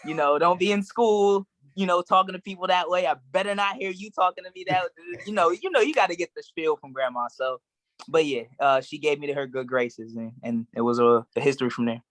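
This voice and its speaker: A young man from Maryland answering interview questions in a relaxed, rambling way with plenty of fillers. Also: on the high side, a little fast, no room sound. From Maryland, USA. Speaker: guest actor.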